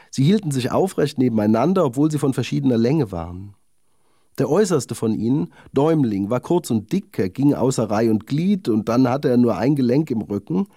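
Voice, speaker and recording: A man's voice: mid-range voice, warm tone, no room sound, clean studio sound. Man voicing a vain, haughty little lady needle. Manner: proud, self-satisfied, genteel. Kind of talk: children's fairy-tale storytelling.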